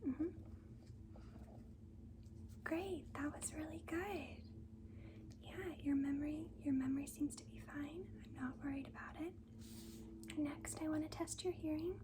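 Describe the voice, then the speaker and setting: A woman doing a soft-spoken ASMR nurse roleplay, mostly in a breathy whisper, with a gentle, reassuring manner. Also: high-pitched, background noise. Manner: gentle, reassuring, slow and deliberate. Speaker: ASMR performer playing a school nurse.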